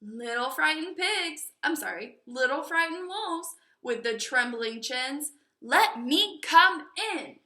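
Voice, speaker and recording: A woman's voice: high voice, lively intonation, exaggerated voice. Woman playing a big bad pig, drawling threats. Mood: menacing. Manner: drawn-out, loud, threatening. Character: big bad male pig, menacing storybook villain. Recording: echoey room.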